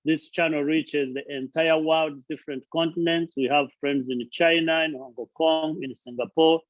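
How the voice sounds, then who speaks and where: A man's voice: fairly high pitch, steady tone, moderate pace, no room sound, highs cut off. A man hosting his channel, giving an earnest motivational talk, stressing points by listing and repeating words. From Uganda. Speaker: charity founder and channel host. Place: friend's house, indoors.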